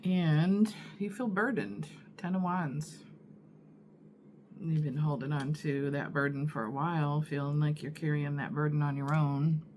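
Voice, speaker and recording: Deep-voiced, slow-talking woman tarot reader. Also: fairly even intonation, darkish tone, slight background noise. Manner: unhurried, musing, many fillers and pauses. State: husky morning voice from allergies. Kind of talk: casual tarot reading to camera.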